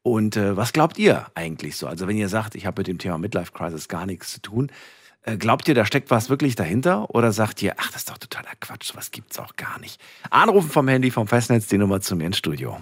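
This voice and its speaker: Radio call-in host, man, lowish voice with very varied melody, addressing callers. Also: big pitch swings, fast talker, breathy edge, dry recording, studio quality. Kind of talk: late-night radio talk, addressing listeners and callers. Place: radio studio. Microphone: close studio microphone.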